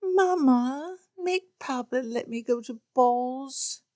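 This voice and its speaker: A woman's voice: a little mellow, dry recording, studio quality. Woman doing a whiny spoiled teenage girl. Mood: whiny pleading. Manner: high wheedling pleas, drawn-out begging. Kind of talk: audiobook character reading.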